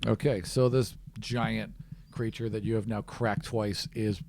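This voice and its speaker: A man's voice: lowish voice, level tone, unhurried, vocal fry, background noise. A man narrating a tabletop role-playing game as game master in a casual, conversational way.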